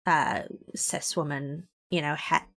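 A woman's voice: low voice, steady tone, takes it slowly, gravelly, a bit bright. Wry, articulate young woman. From Hong Kong. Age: 20s. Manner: articulate, wry, laughs often. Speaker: podcast guest. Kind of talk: casual interview chat.

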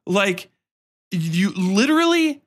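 A man's voice: high voice, sing-song, slow, a bit bright. A man hosting a podcast in a casual, rambling film-review rant, profane, exasperated and mocking.

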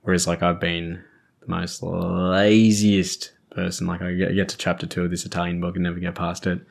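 A man's voice: sits low, completely flat delivery, normal speed, creaky. An Australian man hosting a podcast, chatting casually and rambling, often restarting and searching for words. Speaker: podcast host. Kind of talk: casual chat.

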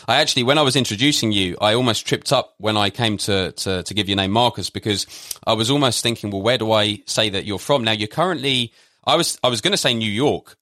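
A man hosting a podcast interview, upbeat and lively as he asks questions. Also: on the low side, no room sound, studio quality. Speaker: podcast host.

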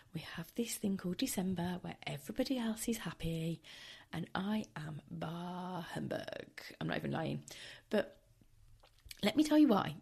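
A woman hosting a casual solo podcast, chatty and wry, with a tired, croaky voice, recording at home. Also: fairly low pitch, dark tone. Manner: chatty, wry and self-deprecating. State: hoarse, tired. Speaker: podcast host.

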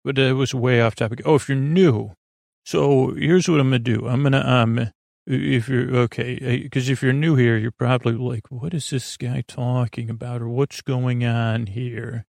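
A man hosting a bedtime podcast in a rambling, improvised monologue, halting and stammering as he meanders into absent-minded, self-deprecating tangents. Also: mid-range voice, fairly even intonation, creaky, darkish tone, no echo, clean studio sound. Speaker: host of a bedtime sleep podcast.

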